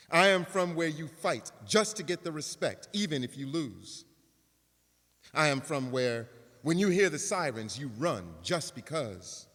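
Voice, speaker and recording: A man's voice: a little high, fairly bright tone. A man giving a dramatic reading of a poem as an actor. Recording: reverberant hall recording.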